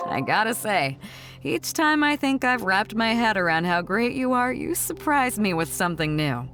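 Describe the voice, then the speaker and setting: A woman's voice: mid-range voice, sing-song, a little slow, a bit bright, soft background music. A woman playing a confident, teasing female ship captain in a video game, breezy and self-assured. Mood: playful, teasing. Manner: breezy, playful, self-assured, chuckles while talking. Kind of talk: video game character dialogue.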